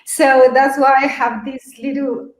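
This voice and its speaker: A middle-aged woman from Mexico City with a Mexican Spanish accent, giving a lively, animated motivational talk on a webinar and asking her audience questions. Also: sits high, a little slow, reverberant, rough recording. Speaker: webinar presenter.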